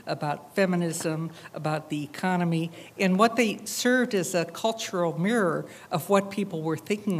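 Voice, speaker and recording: Lower-pitched woman giving a halting talk. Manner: halting, reflective, with frequent hesitant pauses. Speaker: artist presenting her AI robot project. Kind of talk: public talk with visuals.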